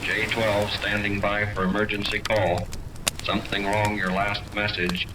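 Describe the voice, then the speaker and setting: A man's voice: lowish voice, little pitch movement, measured pace. A man playing a businesslike radio operator in a 1930s radio serial, calling formally in clipped phrases as if over a transmitter. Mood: urgent, formal. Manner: clipped, formal, repetitive call-sign delivery. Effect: distant radio transmission. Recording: distant, noisy vintage radio recording.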